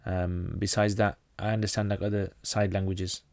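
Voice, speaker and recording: A man's voice: low-pitched, flat delivery, normal speed, vocal fry, darkish tone, no echo. A man from North Pakistan, a native Pashto speaker, hosting a casual livestream Q&A. He reads out viewer questions and answers them plainly, often restarting his sentences.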